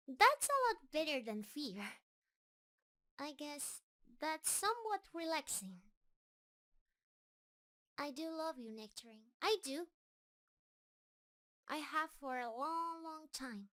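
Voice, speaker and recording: A woman's voice: sits high, fairly bright tone, heavily acted. A woman playing a shy, sweet, lovestruck spider monster in an intimate roleplay audio, nervous and hesitant in her delivery. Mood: lovestruck, flustered. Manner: nervous, hesitant, stammering, gushing.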